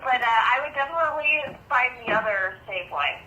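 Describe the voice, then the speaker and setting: Woman, store phone staff, very bright tone, conversational customer service, echoey speakerphone, faint noise. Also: on the high side, lively intonation, a little fast. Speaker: store employee answering phone. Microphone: heard through phone speaker. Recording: echoey, noisy speakerphone call.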